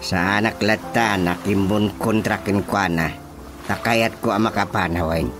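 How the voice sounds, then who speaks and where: A man's voice: on the low side, steady tone, unhurried, close to an everyday voice. A man playing a blunt village chairman in an Ilocano radio comedy drama, with an Ilocano accent. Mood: grumbling. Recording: music bed behind the voice.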